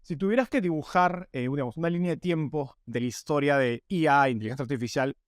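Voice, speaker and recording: A man's voice: a little high, fast, no room sound, clean studio sound. A man hosting an interview podcast, presenting and asking questions. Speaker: podcast host. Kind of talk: scripted narration and podcast interviewing.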